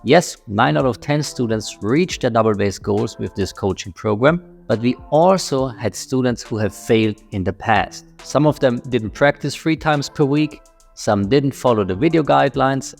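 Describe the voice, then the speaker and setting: A man's voice: mid-range voice, lively intonation, normal speed, creaky, soft background music. A man with an Austrian accent, a drum coach speaking frankly to his channel's viewers. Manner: frank, matter-of-fact. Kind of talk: direct-to-camera channel talk.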